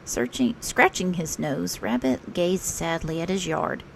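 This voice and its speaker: A woman reading a children's picture book aloud as a storytelling teacher, expressive and unhurried. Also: on the low side, vocal fry, slight background noise.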